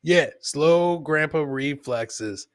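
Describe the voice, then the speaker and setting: A man's voice: a little high, extremely slow, no echo. A man hosting a comedy podcast, commenting casually on funny clips and repeating his phrases for comic effect.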